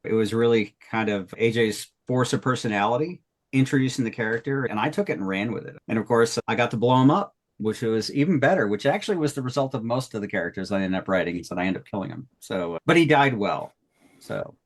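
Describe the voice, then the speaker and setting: A man's voice: mid-range voice, animated, fast. A man hosting a group retrospective, chatting casually as he introduces people. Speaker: host of an audio drama cast retrospective.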